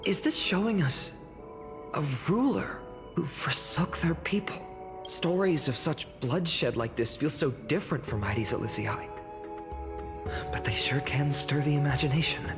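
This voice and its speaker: A woman's voice: sits low, expressive, unhurried. A woman voicing a curious, earnest and thoughtful young traveler in scripted game dialogue, with heavy reverb. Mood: curious, wondering. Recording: long hall reverb over music.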